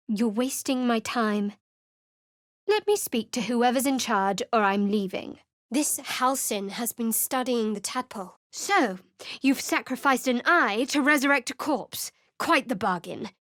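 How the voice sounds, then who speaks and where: A woman's voice: fairly high pitch, no echo, natural voice. An actress voicing a guarded, sardonic young female cleric in video game voice lines, with a cool, dry manner.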